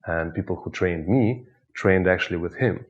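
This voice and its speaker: A man with a Russian accent, a hypnotist guiding the listener through an anchoring exercise with slow, soothing, repetitive suggestions. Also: low voice, dull audio. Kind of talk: guided hypnosis instruction.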